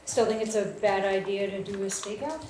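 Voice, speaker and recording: A woman's voice: medium pitch, brisk, close to an everyday voice. A woman playing a hard-edged, confrontational detective in a live screenplay table read. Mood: terse, pointed. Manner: blunt, confrontational. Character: hard-edged, driven detective haunted by a missing daughter. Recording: echoey room, faint noise.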